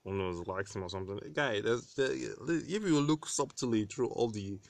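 Excitable, swearing young man, low voice. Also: moderate pace, vocal fry, no echo. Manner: excitable, swears freely, teasing. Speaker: podcast co-host. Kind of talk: casual chat.

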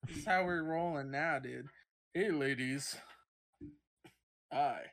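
A man's voice: fairly high pitch, takes it slowly, a bit bright. A young man co-hosting a casual stream, joking crudely and laughing often. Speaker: stream co-host. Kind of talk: casual chat.

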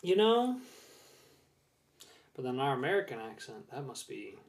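A man co-hosting a podcast in casual chat, joking and quick to quip. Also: mid-range voice, very animated. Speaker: podcast co-host.

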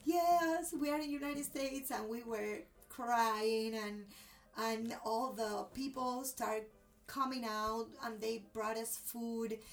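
A woman's voice: on the high side, steady tone, takes it slowly, distant mic. A woman from Cuba with a Cuban accent and Spanish as her first language, telling a personal story expressively in a conversational interview.

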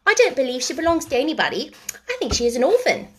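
A woman's voice: sits high, sing-song, fast talker, pitched above the performer's own voice. A woman voicing a prim, decisive young girl with an emphatic, matter-of-fact manner, in a storybook reading. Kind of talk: storybook character voice.

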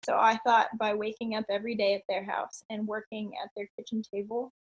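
A woman's voice: mid-range voice, little pitch movement, a little fast, slightly rough, muffled recording. A woman in her late twenties, a podcast guest talking casually and upbeat, with frequent ums and little laughs. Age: 20s. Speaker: podcast guest, online entrepreneur. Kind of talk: casual interview chat.

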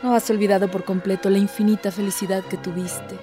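A woman's voice: mid-range voice, flat delivery, fairly quick, dark tone, faint music behind, lightly acted. An actress playing an impassioned, devout woman who pleads earnestly, with theatrical, declamatory delivery in a radio drama. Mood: earnest, tender pleading.

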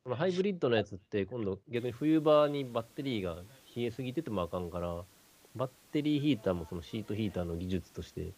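A man's voice: mid-range voice, animated, quick pace, a little mellow. A man explaining things casually as a radio panelist.

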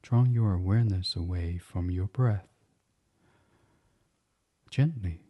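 A man guiding a bedtime meditation as a podcast host, speaking softly and soothingly in an unhurried, hushed, breathy way. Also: low-pitched, lo-fi sound. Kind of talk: guided meditation, gentle bedtime reading.

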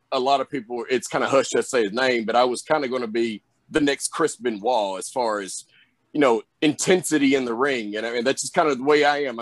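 A man's voice: mid-range voice, no room sound. A young man with a Southern drawl, chatting in an interview about his wrestling career, rambling with lots of fillers. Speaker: wrestler guest. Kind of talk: casual interview chat.

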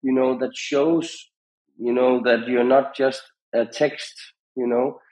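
Man giving halting interview advice. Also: medium pitch, flat delivery, a little mellow, rough recording. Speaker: podcast guest, music industry founder.

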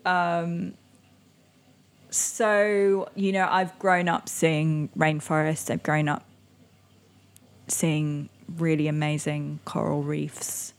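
A young woman who grew up in Indonesia, answering interview questions in a reflective way with many pauses and soft laughs. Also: deep voice, level tone. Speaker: interviewee, climate activist. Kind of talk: conversational interview.

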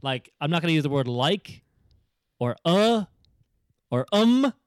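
Young male podcast co-host, Dublin accent with an American lilt, giggly, animated storyteller; dry close mic. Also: a little high, unhurried, clear, resonant tone, no echo. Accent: Dublin Irish with an American tinge. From Ireland. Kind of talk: casual podcast chat.

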